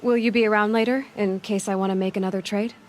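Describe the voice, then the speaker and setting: A woman's voice: mid-range voice, fairly even intonation, quick pace, a little mellow, slight background noise, natural voice. A woman playing a headstrong young outcast huntress in a video game, speaking directly with dry, questioning wit. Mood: wry. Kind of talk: video game cutscene acting.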